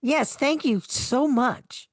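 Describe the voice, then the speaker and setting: A woman's voice: high voice, slow, no room sound, clean studio sound. A woman co-hosting a radio show, asking questions and reading scripted announcements.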